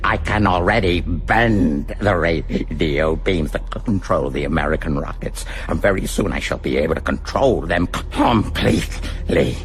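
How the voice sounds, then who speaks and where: A cold megalomaniac villain from a radio play, with a very low, creaky voice. He speaks formally and deliberately, with theatrical menace and sadistic relish. There is clear noise on the recording. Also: heavily acted. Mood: smug, calculating. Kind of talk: radio drama acting.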